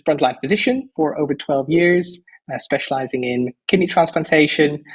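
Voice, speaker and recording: A man's voice: mid-range voice, rough recording. Enthusiastic, articulate man from the Netherlands. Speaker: podcast guest, physician. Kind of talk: podcast interview.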